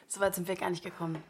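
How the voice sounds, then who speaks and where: A woman's voice: fairly low pitch, mellow, slight background noise, lightly acted. An actress in a radio drama playing a self-assured woman in her twenties who talks in a terse, understated way. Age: 20s. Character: cool, self-assured young woman, bartender and dealer. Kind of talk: naturalistic German radio drama.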